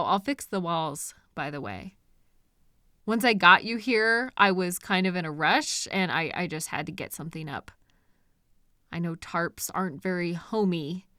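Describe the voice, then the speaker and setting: Slow, sweetly possessive female kidnapper played by a woman. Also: medium pitch, no echo, studio quality. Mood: chatty, amused. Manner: gentle, coaxing, chatty, unnervingly sweet. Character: obsessive, possessive female kidnapper, cheerfully deluded lover. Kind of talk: intimate audio roleplay, speaking to the listener.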